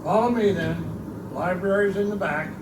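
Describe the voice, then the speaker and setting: A man's voice: sits high, moderate pace, natural voice. A man playing a folksy, wisecracking keeper of a remote outpost library, chatty and theatrical, in pulp storytelling style at a live show. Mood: welcoming. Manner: colloquial, chatty, theatrical, irreverent. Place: live in a bookstore. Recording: roomy distant live recording, loud background noise.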